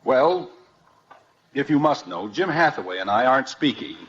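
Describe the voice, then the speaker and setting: Animated, exasperated radio sitcom dad. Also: male voice, medium pitch, moderate pace. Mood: defensive. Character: coaxing, easily exasperated suburban father, middle-aged. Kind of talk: 1950s radio sitcom acting. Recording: dull, hissy old radio recording.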